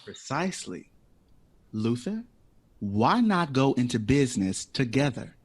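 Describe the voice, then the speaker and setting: An actor in an audio drama playing a witty, dreamy jazz composer who talks with playful, dramatic flourishes. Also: male voice, mid-range voice, sing-song, a little slow, slightly rough. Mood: theatrical, eager. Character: witty, dreamy, theatrical male jazz composer, eager for independence.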